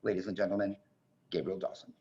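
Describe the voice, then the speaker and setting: A man hosting a staged reading over a video call, making effusive, chatty, informal introductions in a gravelly voice. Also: deep voice, little pitch movement, fast, mellow, slight background noise. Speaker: host of a staged reading event. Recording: dull, muffled video-call audio.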